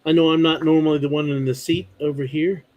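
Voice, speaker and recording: A man's voice: on the high side, flat delivery, quick pace, lo-fi sound. A man hosting a livestream in casual, conversational chat. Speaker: livestream host.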